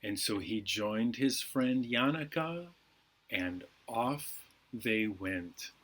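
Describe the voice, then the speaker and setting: A man hosting a storytime, reading bedtime stories to children with unhurried, deliberate phrasing. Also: on the low side, lively intonation, fairly bright tone. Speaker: library storytime host.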